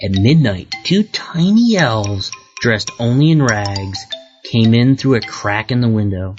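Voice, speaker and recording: A narrator reading a children's story aloud in an expressive, deliberate storytelling way for young learners. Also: medium pitch. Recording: muffled lo-fi audio with music behind. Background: music.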